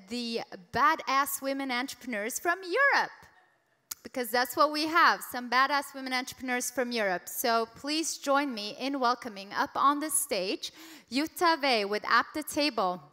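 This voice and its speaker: A woman's voice: high-pitched, bright, forceful tone. A woman hosting a panel on a conference stage with upbeat, emphatic delivery. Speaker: panel moderator. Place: large conference hall. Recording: echoey conference hall.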